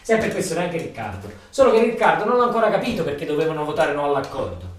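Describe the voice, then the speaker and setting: A man's voice: a little high, animated, quick pace. A man with a Neapolitan accent delivers a theatrical monologue in a colloquial, emphatic, repetitive storytelling manner. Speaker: stage monologue actor. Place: roomy interior, far from microphone. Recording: distant echoey room, faint noise.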